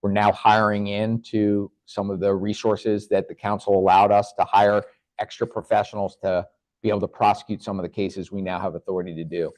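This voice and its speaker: A man speaking as a government official at a community meeting, answering questions in an earnest, measured way with occasional restarts. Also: lowish voice, little pitch movement, darkish tone, no echo.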